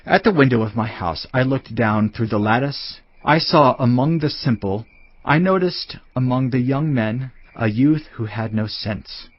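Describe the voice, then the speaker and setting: A man's voice: medium pitch, lively intonation, a little slow, no echo. A man giving a dramatised Bible narration as a solemn, admonishing fatherly teacher. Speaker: scripture narrator. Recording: slightly muffled, music bed behind. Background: background music.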